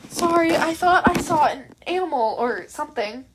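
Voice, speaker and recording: A woman plays an eager young man from an audio story, pitched high. He is cheeky and stubborn, pushing on persistently. A nervous streak sits under the teasing. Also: lively intonation, moderate pace, slight background noise, poor audio. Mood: uneasy.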